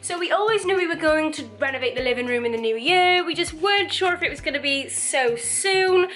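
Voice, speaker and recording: Diy vlogger woman chatting away in her torn-up living room, slightly croaky, kinda high voice. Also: expressive, a little fast, fairly bright tone. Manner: chatty, rambling, laughs often. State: croaky from dust. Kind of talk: casual chatty vlog to camera. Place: living room under renovation.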